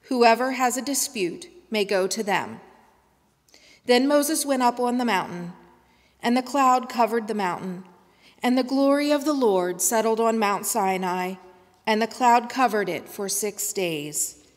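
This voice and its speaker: A middle-aged woman reading scripture at a church lectern in a slow, measured way. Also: on the high side, lively intonation. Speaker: scripture reader. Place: large church sanctuary. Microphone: lectern microphone. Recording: long church reverb.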